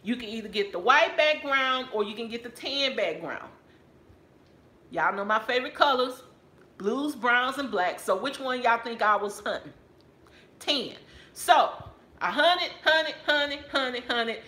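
A middle-aged Texan woman talks to her viewers from her living room couch, calling them y'all in a playful, chatty way. Her voice is bright and a little high, and she takes her time. The phone recording carries a roomy echo. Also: lively intonation, measured pace. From Texas. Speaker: handbag haul vlogger. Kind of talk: casual haul video talking to viewers. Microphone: camera or phone on a stand, close framing.

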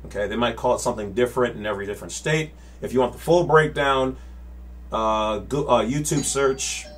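A man's voice: medium pitch, fairly quick. A man in his forties explaining to a webcam in his home office, gesturing with his hands and checking in with "all right?" and "okay?" after his points. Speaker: channel host. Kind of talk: casual explainer to camera. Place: home office with bookshelves and monitors. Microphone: webcam at desk.

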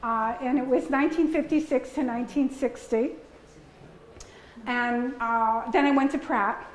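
A woman's voice: on the high side, steady tone, unhurried, slight background noise. An older woman in her seventies with a Bronx New York accent, answering audience questions at a museum talk in a chatty, rambling way with frequent laughter. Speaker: artist giving a talk. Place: museum gallery before a seated audience. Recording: roomy gallery with faint ambience.

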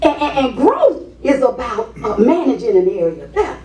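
A sassy old American grandmother in a comic stage play, acted by a woman. Her voice is high and very animated as she hands out folksy advice, doubling back on her words. It sounds distant in a reverberant space. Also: moderate pace, crowd noise. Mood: sassy, scolding. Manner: folksy, repeats words, calls people baby and sugar. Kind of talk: comedic stage play acting. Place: indoor stage set in a hall. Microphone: distant camera. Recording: echoey stage, picked up from afar.

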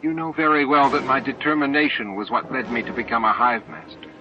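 A man's voice: medium pitch, steady tone, brisk, pitched above the performer's own voice, natural voice. A man voicing an earnest, idealistic insect-like alien leader in a dramatized audiobook, reasoning with strained patience. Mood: pleading. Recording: dull lo-fi audiobook tape with music.

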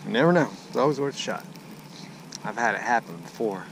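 American male angler, folksy and repetitive, mid-range voice, casual vlog chatter over clear outdoor noise. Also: medium pitch, expressive, brisk. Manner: playful, folksy, talks to fish and lures, repeats himself. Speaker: angler filming a fishing vlog. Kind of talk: casual running chatter while fishing. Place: outdoors on a bass boat on a lake. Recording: noisy outdoor boat audio, picks up everything.

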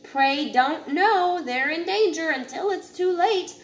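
A woman in her thirties reading a children's picture book aloud in a deliberate, instructive way, now and then stumbling over a word. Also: very high voice, animated. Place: home living area with kitchen, indoors. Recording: noisy home recording, room ambience.

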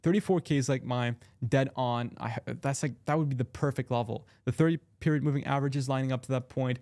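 A young man talks through trading charts quickly and casually, full of fillers and restarts, his throat a little sore. He sits close to a studio mic, and the sound is dry and close with a mid-range pitch. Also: fairly even intonation, warm tone, no echo. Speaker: crypto chart analyst. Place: small room at a desk. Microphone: large studio mic close in frame.

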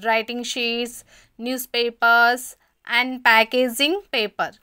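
A woman reading a scripted school lesson as a voice-over, stating each heading and then explaining it in a measured way. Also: on the high side, flat delivery, sharp tone, smooth. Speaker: narrator of a school lesson video.

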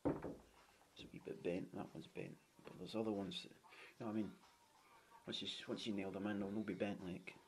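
A chatty man with a low-pitched voice walks through his half-stripped flat. He talks casually, swears freely and keeps asking "you know what I mean". The handheld camera mic catches faint clicks and handling noise. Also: normal speed. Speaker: home renovator. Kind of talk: walkthrough tour narration. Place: indoors in an empty flat under renovation.

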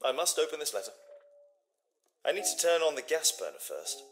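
An actor playing a shrewd, self-assured Victorian detective with a British accent, clipped and commanding. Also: male voice, fairly high pitch, very animated, a little fast, a little hiss or hum. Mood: intent, musing. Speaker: lead detective character. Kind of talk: dramatic game voice acting, thinking aloud.

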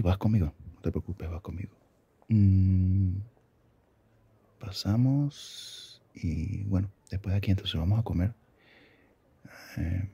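Deep, husky, slow-talking man in intimate roleplay. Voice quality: husky, gravelly. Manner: soft-spoken, affectionate, unhurried. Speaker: ASMR roleplay performer. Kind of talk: intimate ASMR boyfriend roleplay.

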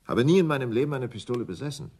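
An actor playing a puzzled, hesitant husband being questioned by police, in radio crime drama style. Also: male voice, medium pitch, animated, racing, a little mellow, slightly rough. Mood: bewildered.

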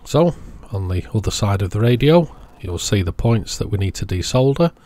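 A man's voice: fairly low pitch, big pitch swings, normal speed, mellow. A man narrating a hands-on tutorial step by step in a plain, methodical way. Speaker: host of electronics repair tutorials.

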